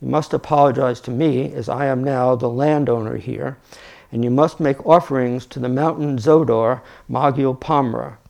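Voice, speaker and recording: A man's voice: medium pitch, moderate pace, warm tone, lightly acted. A man reading aloud as a small, wild boy hero from a storybook, imperious and menacing in his declarations. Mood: harsh, menacing.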